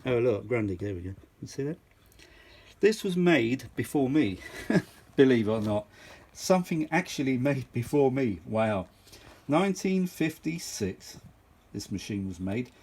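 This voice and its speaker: A mature man with a slightly rough, husky voice talks at an ordinary male pitch. He shows off vintage gear in loose, unscripted chat, wandering between asides and short exclamations, often saying things twice to stress them. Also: big pitch swings, measured pace, darkish tone. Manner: rambling, exclamatory, repeats himself for emphasis. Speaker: vintage audio enthusiast showing a machine. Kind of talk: casual unscripted show-and-tell chat.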